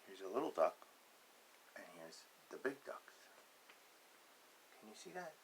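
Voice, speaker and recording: A man's voice: lowish voice, sing-song, unhurried, vocal fry, noisy background. A man reading a picture book aloud to young children, adding playful, simple explanations in casual asides.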